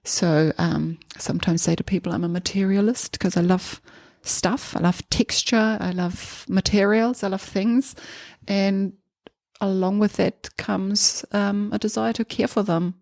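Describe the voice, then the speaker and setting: A woman from Germany with a German accent, a podcast guest talking in a relaxed, thoughtful way with many small pauses. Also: medium pitch, vocal fry, no echo, clean studio sound. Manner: thoughtful, hesitant with many ums. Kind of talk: casual interview chat.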